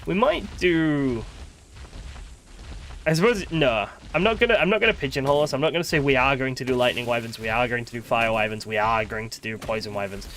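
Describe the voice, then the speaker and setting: A man's voice: medium pitch, fairly quick, fairly bright tone, a little hiss or hum. A man giving casual live gameplay commentary as a streamer, chatty and thinking aloud, with wry, sweary grumbling. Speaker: game streamer.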